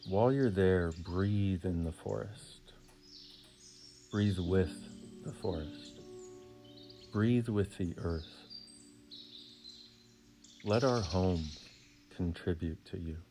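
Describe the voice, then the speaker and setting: Male meditation guide, low register, soothing and deliberate, long pauses between short phrases, over music.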